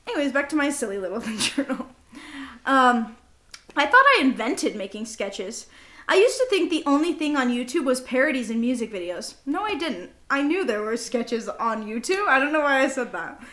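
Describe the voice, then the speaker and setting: A young woman with a high voice chats casually as a podcast co-host. She is goofy and giggly, happy to poke fun at herself. The sound is roomy and echoey. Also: very animated, moderate pace, sharp tone. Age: young adult. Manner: giggly, goofy, self-mocking. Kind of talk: casual chat between best friends, with bits of journal reading.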